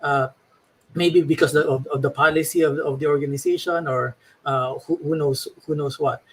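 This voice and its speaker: A male talk-show host with a husky, slightly breathy voice. He asks his questions haltingly, repeating words and filling pauses with "uh" as he works his way toward the point. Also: on the high side, steady tone, low-quality recording. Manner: hesitant, full of fillers and repeats. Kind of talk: interview.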